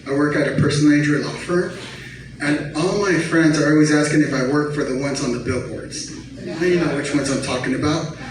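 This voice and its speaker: A man's voice: fairly high pitch, fairly even intonation, a little fast. A man performing an amateur stand-up comedy set in a conversational, sarcastic, teasing way. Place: comedy bar stage. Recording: very echoey noisy venue, distant mic.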